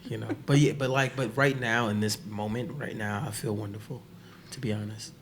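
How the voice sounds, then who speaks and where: A man from the Bronx, hoarse from losing his voice, telling stories in a casual, slangy conversation as a podcast guest. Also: lowish voice, brisk, a crowd in the background. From the Bronx, New York. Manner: relaxed, slangy storytelling with many fillers.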